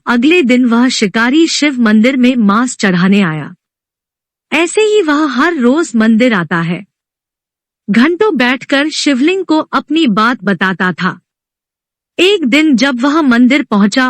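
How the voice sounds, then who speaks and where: A woman storyteller with a fairly high voice reads a devotional tale. She takes her time over every phrase, very slowly. The sound is dry and close. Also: dry recording. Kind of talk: scripted devotional story narration.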